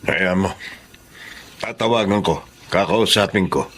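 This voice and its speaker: An actor playing a kind, thoughtful doctor husband in a radio drama, considerate and easygoing. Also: male voice, low voice, a little slow, a bit bright, a little hiss or hum. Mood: supportive. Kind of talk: Tagalog radio drama acting.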